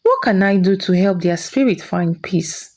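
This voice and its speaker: A woman's voice: medium pitch, lively intonation, a little slow, darkish tone, voice pushed down, lightly acted. A woman voicing an earnest, respectful young village boy in a folktale.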